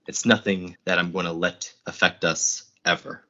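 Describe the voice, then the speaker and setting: A young man playing a troubled, secretive lover in a 1940s-style suspense radio drama, urgent and halting. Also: sits low, fairly even intonation, sharp tone. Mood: reassuring, strained. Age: young adult. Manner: urgent, halting, repeating words. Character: troubled, secretive male lover, tender but cornered. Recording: dull lo-fi audio.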